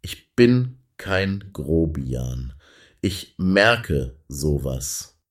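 A brooding, suspicious starship captain acted by a man in a radio satire. He has a very deep voice that swings widely in pitch, wounded and paranoid. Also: big pitch swings, extremely slow, heavily acted. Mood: brooding suspicion. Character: paranoid, easily slighted male spaceship captain.

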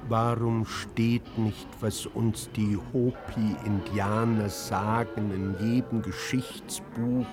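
A man declaiming a poetic text for a radio drama, very deliberately. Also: lowish voice. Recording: music behind the voice. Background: music.

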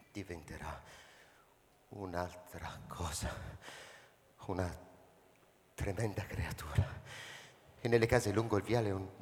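A man playing a frightened, paranoid spaceship captain in a theatrical radio drama, swinging between murmurs and agitated outbursts. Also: sits low. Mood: hushed dread. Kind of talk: theatrical radio drama monologue with live music. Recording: reverberant hall, music over the voice.

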